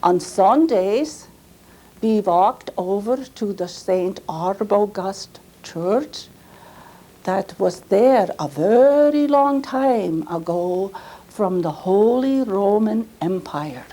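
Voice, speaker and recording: A woman performs as an earnest Swiss immigrant grandmother recalling her life in a dramatic monologue. Her Swiss German accent comes in a mellow voice at a slow pace, with careful, halting English and small self-corrections. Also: medium pitch, lively intonation. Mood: fond, reminiscing. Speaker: storyteller performing a reenactment. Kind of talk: dramatized first-person monologue.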